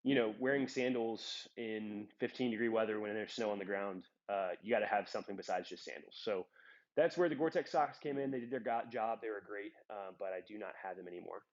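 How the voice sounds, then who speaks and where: A man's voice: fairly low pitch, a little fast, lo-fi sound. A young man with a slightly stuffed-up voice, talking casually and rambling through his hiking gear with lots of ums, filmed on a phone. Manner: relaxed, rambling with many ums. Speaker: hiking vlogger. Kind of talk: casual gear talk to camera. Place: in a trail town. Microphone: phone on a trekking-pole clip.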